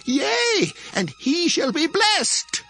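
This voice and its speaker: A man's voice: extremely high pitch, wildly swooping pitch, drawn-out words, bright, forceful tone, soft background music, exaggerated voice. A man playing a frail old blind father in a dramatized Bible drama, solemn and tender in archaic scripture dialogue. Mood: shaken, grieved.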